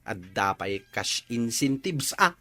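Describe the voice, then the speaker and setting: A man's voice: fairly low pitch, very animated, slow pace, pushed and bright, exaggerated voice. A man with an Ilocano accent playing an encouraging villager giving advice in a radio drama. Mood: assured.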